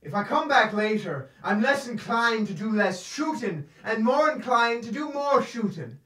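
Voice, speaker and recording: An actor playing a mean, pious outlaw gunslinger with a taunting manner in a western audio drama. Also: male voice, very high voice, big pitch swings, normal speed, sharp tone, no room sound, heavily acted. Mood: menacing.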